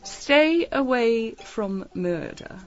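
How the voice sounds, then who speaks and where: A woman's voice: fairly high pitch, very animated, extremely slow, full character voice. An actress playing a clever, teasing English lady detective in a radio mystery, with an arch, mock-innocent, chatty manner. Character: clever, teasing young female British detective. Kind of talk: radio mystery drama acting. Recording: slightly dull audio with music underneath.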